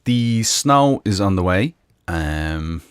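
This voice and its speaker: A man's voice: low voice, expressive, slow pace, smooth, no room sound, clean studio sound. A man with an Irish accent hosting a live music stream, chatting casually with many ums and uhs. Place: home room in Michigan. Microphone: close microphone.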